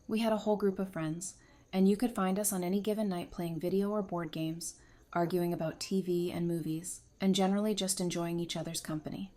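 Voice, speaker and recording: A woman's voice: lowish voice, darkish tone, close to an everyday voice. A woman playing a frank, teasing, friendly nerd in an audio drama, with wry conversational delivery. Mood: reflective. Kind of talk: fan audio drama acting.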